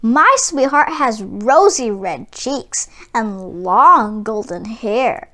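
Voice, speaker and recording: A mocking elder brother from a fairy tale, acted out by a woman. The young man boasts and teases, his high voice swinging up and down. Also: lively intonation, extremely slow, bright, forceful tone, exaggerated voice. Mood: boastful. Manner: teasing, boastful.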